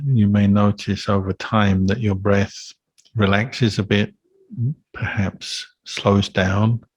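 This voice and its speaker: A man gives guided meditation instruction in a lowish, very slow voice. His delivery is calm and flat in melody, with gentle pauses between short phrases, as a monk teaching would speak. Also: slightly rough, no room sound.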